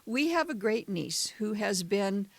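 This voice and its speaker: A woman's voice: medium pitch, big pitch swings, unhurried, vocal fry, dry recording. An older woman co-hosting a podcast, telling stories in a chatty way and laughing often, with a tired morning voice. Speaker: podcast co-host. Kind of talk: casual chat.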